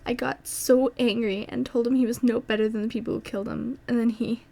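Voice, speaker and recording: A woman's voice: on the high side, steady tone, brisk, creaky, mellow. A woman playing a grieving but defiant young woman in an amateur audio drama, earnest and emotional. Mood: hurt, worried.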